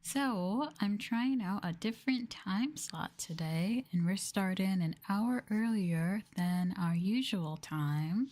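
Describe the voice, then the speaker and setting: A soft-spoken, gentle woman streamer doing an ASMR livestream close to the microphone, sometimes drifting toward a whisper. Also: mid-range voice, slow, few pauses. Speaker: VTuber streamer.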